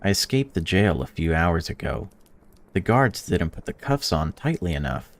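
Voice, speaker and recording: A young man in a let's-play, reading a visual novel aloud and reacting to it, swearing freely and swinging between excited outbursts and flat reading. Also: low-pitched. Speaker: gaming YouTuber.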